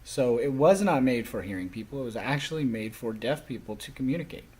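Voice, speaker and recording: Husky-voiced man giving a class lecture. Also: mid-range voice, animated, a little fast, a little mellow.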